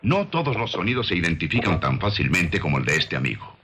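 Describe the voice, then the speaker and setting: A man reading scripted documentary narration with measured, dramatic storytelling delivery, on an old film soundtrack. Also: deep voice, big pitch swings, sharp tone, a little hiss or hum.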